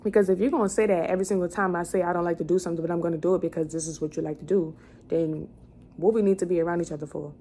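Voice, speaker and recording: Low-voiced young woman, noisy recording. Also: fairly even intonation, rapid-fire, dark tone. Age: 20s. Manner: rambling, thinking aloud, often restarting and correcting herself. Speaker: vlogger. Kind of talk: casual chat, answering questions to camera. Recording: clearly noisy room ambience.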